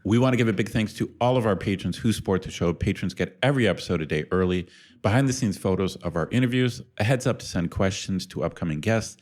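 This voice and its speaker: A man co-hosting a podcast, easygoing in manner, with a very deep voice. He reads the show's announcements in a smooth, conversational way. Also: darkish tone. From United States. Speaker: podcast co-host. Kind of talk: scripted ad read and casual chat.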